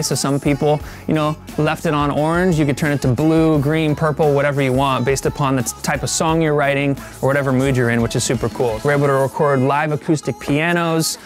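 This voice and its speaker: Male tour host, thirties, fairly high voice, casual and upbeat delivery, music underneath. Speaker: studio owner giving a tour. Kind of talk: casual presenter-style tour talk. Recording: music playing behind the voice. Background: background music.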